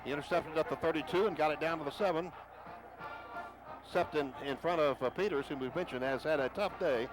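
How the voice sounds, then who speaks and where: A man calling a football game play by play for radio, rising in pitch and volume on big plays. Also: fairly quick, music in the background. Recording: noisy stadium broadcast.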